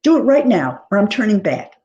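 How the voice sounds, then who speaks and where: A woman's voice: medium pitch, very animated, fairly quick, mellow, lo-fi sound, natural voice. A woman voicing a cautious, exasperated sister who worries and scolds, in a storybook reading. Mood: anxious. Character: anxious, cautious, exasperated sister, a careful driver. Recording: clear background noise.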